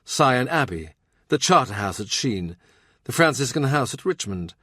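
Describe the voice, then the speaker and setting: A man's voice: mid-range voice, big pitch swings, normal speed, fairly bright tone, slightly rough, no room sound. A man narrates an audiobook in a literary, scripted style. His voice sits in a middle register, and the recording is very dry and close.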